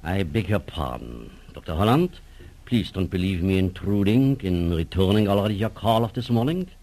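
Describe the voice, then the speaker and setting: An actor playing a suave, menacing German professor and spymaster in a radio drama, with formal, courteous and calculating speech. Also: male voice, low voice, animated, moderate pace, mellow. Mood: smooth, formal. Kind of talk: 1940s radio drama acting.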